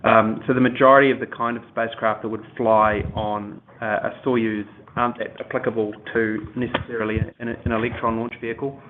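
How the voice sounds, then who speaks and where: Flat-pitched halting man on a noisy line. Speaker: company executive answering analysts. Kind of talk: unscripted technical answer on a conference call. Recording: muffled phone-like line with faint noise.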